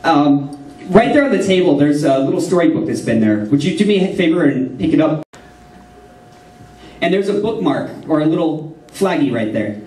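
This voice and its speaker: A man's voice: a little high, expressive, moderate pace, warm tone. A man performing a joking storybook routine on stage for a live audience, chatting and laughing between lines. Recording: roomy hall sound from far off.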